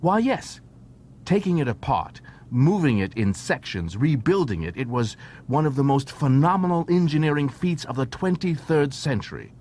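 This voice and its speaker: A man's voice: very animated, normal speed, darkish tone. A man voicing an eager young doctor who lectures pedantically and with animation in an audiobook. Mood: excited, lecturing. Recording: noisy track with low rumble.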